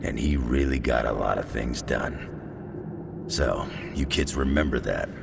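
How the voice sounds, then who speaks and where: Grizzled, blunt game hero; bottom-of-the-range pitch, gravelly fry. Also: male voice, slow pace, very noisy, full character voice. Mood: gruff, blunt. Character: gruff, blunt veteran hero lecturing younger teammates. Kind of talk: animated video game cutscene acting.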